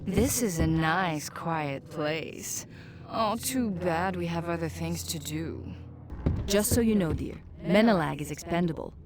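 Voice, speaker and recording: A woman's voice: on the low side, animated, a little slow, heavy background noise. An actress playing a sly, cynical female spirit guide who teases and commands in a fantasy video game. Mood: teasing, cool. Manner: teasing, cool, bossy.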